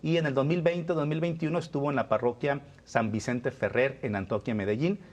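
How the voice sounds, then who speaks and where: A man with a mid-pitched voice hosts a religious talk program. He speaks conversationally, turning to viewers and guests and checking with them as he goes. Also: brisk, a little mellow. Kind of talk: conversational presenting and interviewing.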